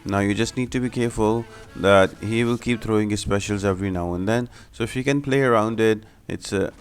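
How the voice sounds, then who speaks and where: A man's voice: lowish voice, brisk, smooth, faint music behind. A man giving explanatory, step-by-step commentary as the host of a video game guide. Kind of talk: gameplay tutorial commentary.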